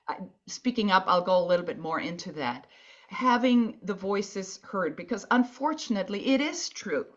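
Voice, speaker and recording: A woman's voice: fairly low pitch, a bit bright, dull audio. Woman speaking earnestly on a panel. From Colombia. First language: Spanish. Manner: earnest, with frequent restarts and repeated words. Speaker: environmental advocacy panelist. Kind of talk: conference panel talk.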